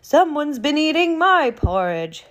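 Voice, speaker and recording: A woman's voice: high-pitched, very animated, a little slow, fairly bright tone, pitched above the performer's own voice, exaggerated voice. A woman voicing an affronted Mama Bear, a motherly female bear exclaiming in a children's storybook tale.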